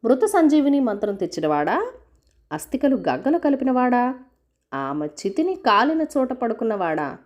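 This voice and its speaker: A woman's voice: fairly high pitch, big pitch swings, moderate pace, a little mellow. A woman voicing a male spirit in a corpse who formally challenges a king with riddles in a storybook tale. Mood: challenging.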